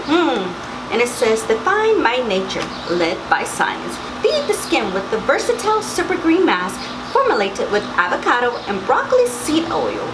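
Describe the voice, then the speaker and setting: A young woman with a slightly raspy morning voice, chatting casually through a beauty box unboxing and reading product labels aloud. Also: a little high, wildly swooping pitch, moderate pace, background noise. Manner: chatty, reads labels aloud, frequent mm-hmms. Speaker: beauty vlogger.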